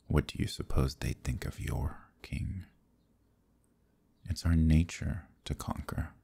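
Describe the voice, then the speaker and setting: Seductive demon king villain, ASMR roleplay: rock-bottom pitch, breathy, smug and quietly menacing. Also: exaggerated voice. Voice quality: very deep, gravelly, breathy. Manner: slow, smug, softly threatening. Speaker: voice actor.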